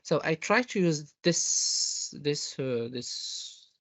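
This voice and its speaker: An audience member from Morocco with a Moroccan accent, asking a question in halting, non-fluent English and searching for words. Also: mid-range voice, expressive, no echo. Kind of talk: hesitant spoken question in an online meeting. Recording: dull, dry lo-fi call audio.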